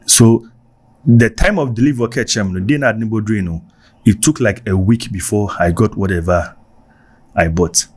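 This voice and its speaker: A man's voice: fairly low pitch, moderate pace. Ghanaian man telling stories. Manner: animated, mixes in Ghanaian phrases, repeats himself for emphasis. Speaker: vlogger. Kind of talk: casual storytelling vlog.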